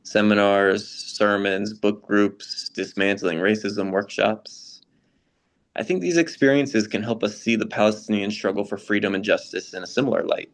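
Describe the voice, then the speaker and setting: Man reading as a thoughtful, low-voiced church member. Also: close to an everyday voice. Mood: reflective. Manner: measured, thoughtful, polite. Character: thoughtful, courteous male church member probing issues of justice. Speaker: reader in a readers theater dialogue. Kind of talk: scripted dialogue read as casual discussion.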